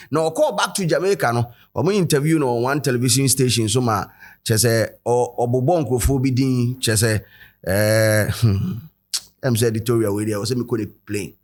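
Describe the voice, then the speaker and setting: A man with a Ghanaian accent hosting a casual editorial show, talking loosely with many fillers and switching between English and Twi. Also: medium pitch. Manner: chatty, loose, full of fillers and code-switching. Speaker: host and commentator.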